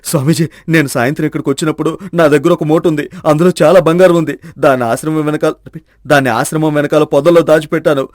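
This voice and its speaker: A man's voice: on the high side, steady tone, fast talker, a little mellow, dry recording, clean studio sound, close to an everyday voice. A man playing a desperate thief in a storybook melodrama, pleading in a plaintive, anguished manner. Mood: pleading, contrite. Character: desperate, pleading male thief, devoted to sick wife.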